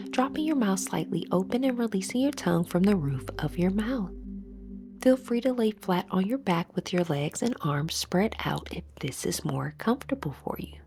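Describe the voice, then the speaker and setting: Slow, higher-pitched woman guiding meditation. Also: a little mellow. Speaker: guided meditation instructor. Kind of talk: scripted guided meditation.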